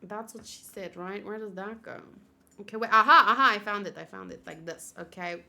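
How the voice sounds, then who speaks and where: A woman's voice: mid-range voice, brisk, gravelly, bright, forceful tone, a little hiss or hum. A woman streamer chatting casually and giggling as she unboxes and assembles a figure, answering her chat.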